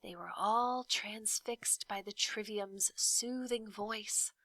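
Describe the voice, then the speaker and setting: A woman, a teacher reading aloud, giving an expressive storybook narration. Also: mid-range voice, takes it slowly, no echo.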